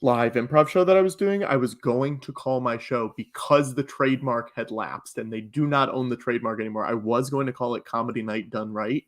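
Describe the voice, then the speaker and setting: A man in casual podcast conversation, speaking at a middling pitch. He comes across as a joking guest with an animated manner, often letting a chuckle into his words. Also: a little fast, few pauses. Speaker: podcast guest and live improv comedy performer.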